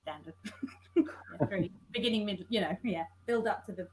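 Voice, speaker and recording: A woman scriptwriter on an online panel, talking thoughtfully, with many restarts and pauses. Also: mid-range voice, noisy background. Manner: hesitant, many restarts and fillers. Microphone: video call.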